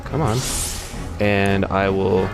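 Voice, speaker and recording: A man with a low, deep voice talks through a game in a relaxed, rambling way, full of fillers and restarts. He stays in his own plain voice even when he reads the characters' lines aloud. Game music plays clearly underneath the whole time. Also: takes it slowly. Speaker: let's play host. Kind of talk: casual gameplay commentary.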